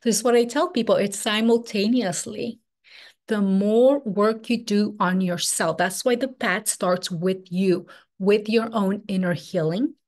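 A woman's voice: mid-range voice, unhurried, a little mellow. A woman, teaching a spiritual workshop over a video call in a loose, flowing way with many "like"s. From Peru. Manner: casual, flowing, filler-heavy with frequent "like" and "um". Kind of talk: online workshop presentation. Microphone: webcam on video call.

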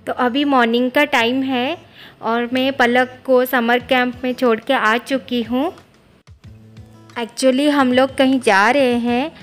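A woman in her thirties chatting casually in a family vlog, mixing in English words, filmed on a phone held at arm's length. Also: a little high. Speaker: family vlogger. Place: home and on the road, train station.

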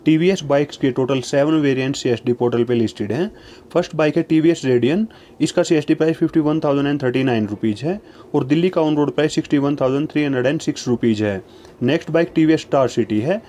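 A man narrating a voice-over, reading out long lists of prices in a steady, even, formulaic way. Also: fairly high pitch, fast.

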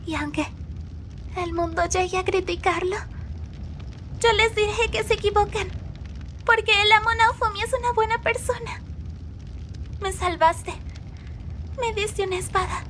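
A woman with a Latin American Spanish accent playing a gentle, loyal young raccoon-girl companion in an anime fan dub, earnest and pleading. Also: very high-pitched, fairly even intonation, measured pace, pauses often, slight background noise. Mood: tender, resolute.

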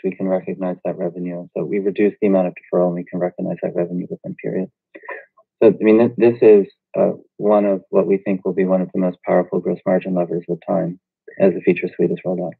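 A man speaking as a finance executive, explaining figures on an earnings call in a measured, businesslike way. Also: sits low, creaky, slightly rough, no room sound. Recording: dull, lo-fi conference call audio.

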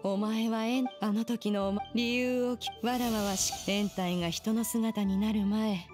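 Actress doing stern proud crane immortal lady, old-timey formal talk, game dialogue w/ loud music under it. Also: mid-range voice, steady tone, a little slow, natural voice. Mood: composed narration. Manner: archaic, lofty, formal elder-like speech. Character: proud, stern immortal crane adept in human form, woman. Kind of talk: scripted Japanese game voice acting, storytelling.

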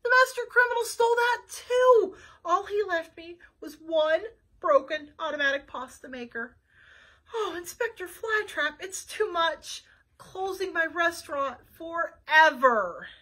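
A woman's voice: very high voice, expressive, unhurried, a bit bright, pitched above the performer's own voice, heavily acted. A woman doing a distraught penguin chef. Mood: upset. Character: distraught male penguin restaurant owner.

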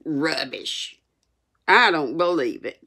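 A woman voicing a brusque older storybook aunt, sceptical and excitable. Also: low voice, slow pace, vocal fry, slightly rough, heavily acted. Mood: dismissive. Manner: exclaiming, gasping.

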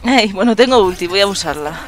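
Excitable Spanish woman gamer, squealing. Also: mid-range voice, brisk. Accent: Spanish from Spain. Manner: excitable, laughing, swearing, squealing. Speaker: gaming channel host. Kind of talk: casual gameplay chat with a friend.

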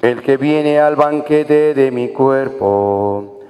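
A man's voice: medium pitch, very slow, darkish tone, faint music behind. A man with a Mexican Spanish accent, singing a communion hymn as cantor at mass, drawn out and devotional. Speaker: church cantor. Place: parish church during mass. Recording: long church reverb with accompaniment.

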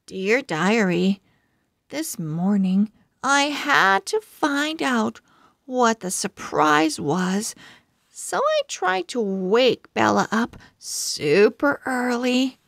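A woman voicing an excitable, eager little pug confiding dramatically in a diary in a children's storybook. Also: on the high side, very slow, vocal fry, dry recording, clean studio sound, heavily acted. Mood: eager, dramatic.

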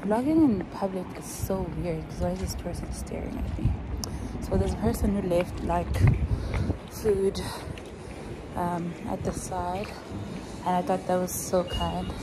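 A woman's voice: on the low side, fairly even intonation, a little slow. A young woman from Namibia in her twenties, chatting casually to her phone in a selfie vlog, rambling with plenty of fillers. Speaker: vlogger. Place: outdoor rooftop terrace, then indoors. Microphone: phone held at arm's length. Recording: phone audio with background noise.

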